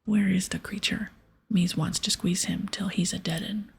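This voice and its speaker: A blunt, simple-minded ogre from a fantasy game, whispered by a woman. The speech is broken and clumsy, delivered in an extremely breathy hush with crackly fry. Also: mid-range voice, steady tone, normal speed. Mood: vengeful.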